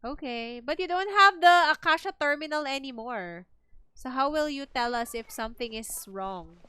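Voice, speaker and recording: A woman's voice: high-pitched, moderate pace, sharp tone, dry recording. A woman with a Filipino accent giving casual live gameplay commentary, dropping in Tagalog words. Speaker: game streamer. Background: game music.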